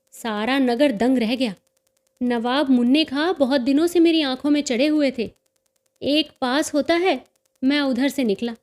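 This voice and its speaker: A woman voicing a cheerful, boastful teenage boy who tells of his bird fights in a storybook reading. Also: extremely high pitch.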